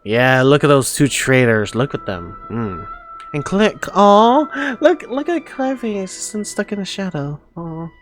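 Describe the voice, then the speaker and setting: A woman's voice: low voice, very animated, a little slow. A woman giving casual live gaming reactions, exclamatory and slangy with frequent swearing. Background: game music.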